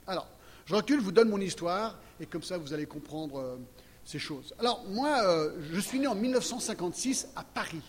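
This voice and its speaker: A man's voice: a little high, slight background noise. A man in his sixties, American raised in Geneva, preaching a sermon and sharing his testimony, chatty and often checking in with the congregation. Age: 60s. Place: church hall. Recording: long reverb hall, faint ambience.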